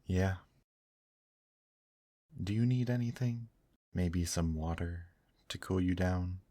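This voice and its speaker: A man playing a gentle, protective male demon in an intimate ASMR roleplay, speaking softly, tenderly and reassuringly to the listener. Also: low-pitched, dry recording, clean studio sound. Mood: firm, caring. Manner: soft, tender, reassuring, unhurried.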